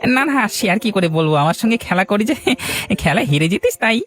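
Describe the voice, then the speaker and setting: Man voicing a very high-pitched humble villager. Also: lively intonation, fairly quick, a bit bright, soft background music. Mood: teasing. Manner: earnest, fretful. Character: anxious, humble village man, plain-spoken and deferential. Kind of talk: cartoon dialogue.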